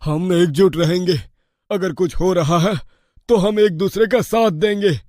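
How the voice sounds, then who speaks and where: A man's voice: sits high, a little slow, darkish tone, no echo, studio quality. A man speaking tense, urgent lines in a horror story. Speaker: story character voice.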